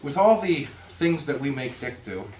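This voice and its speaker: A man's voice: on the high side, lively intonation, unhurried. Man casually introducing, far from mic. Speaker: presenter at a readers theater event. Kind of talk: informal spoken introduction. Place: live event in a roomy space. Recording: echoey room, distant mic, faint noise.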